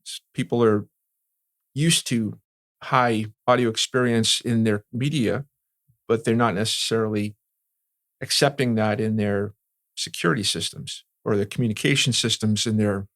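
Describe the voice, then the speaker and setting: A man with a fairly low voice speaks as a seasoned industry expert in an interview. He explains step by step in a conversational way, often tagging points with "right?", and the sound is dry with very little room. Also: fairly even intonation, normal speed, fairly bright tone, no echo. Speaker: security and communications industry veteran being interviewed.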